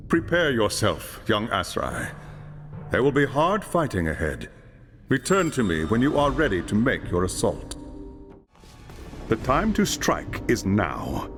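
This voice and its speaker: A low-voiced, stern commander. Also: male voice, fairly bright tone. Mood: grave, commanding. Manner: authoritative, solemn. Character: stern, commanding male leader briefing a young warrior. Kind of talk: dramatic fantasy video game acting.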